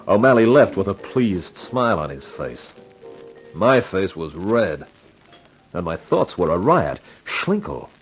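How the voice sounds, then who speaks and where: An actor playing an excitable, wisecracking employee in a 1950s radio detective drama, blustering and easily cowed. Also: male voice, low voice, poor audio. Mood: wry narration. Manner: indignant bluster sliding into stammering deference.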